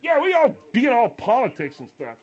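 A man voicing a slow-witted young male radio sidekick with a husky voice, blurting in clumsy grammar. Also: extremely high pitch, huge pitch swings, lo-fi sound, pitched above the performer's own voice, full character voice. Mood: complaining.

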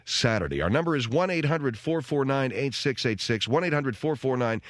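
A man's voice: mid-range voice, animated, normal speed, no room sound, clean studio sound. A man hosting a radio call-in show, probing callers with questions. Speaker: radio talk show host.

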